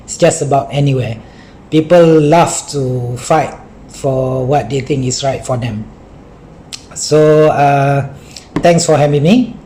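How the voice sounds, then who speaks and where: A man from Malaysia, an author at a reading, talking about his short story in halting English full of fillers, over a video call. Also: medium pitch, dark tone, a little hiss or hum, rough recording.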